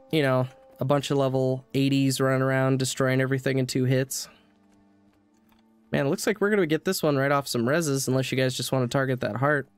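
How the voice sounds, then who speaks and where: A man's voice: medium pitch, smooth. A man streaming a game, rambling casually to his viewers while he plays, sniffly with a cold. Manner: chatty, rambling, talks to chat. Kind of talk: casual gameplay commentary. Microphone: desk mic.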